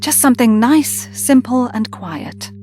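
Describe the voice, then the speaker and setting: Female adventurer's companion, acted by a woman, earnest and loyal, questioning, highish pitch, audio drama. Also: lively intonation, measured pace, mellow, faint music behind. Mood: weary, trusting.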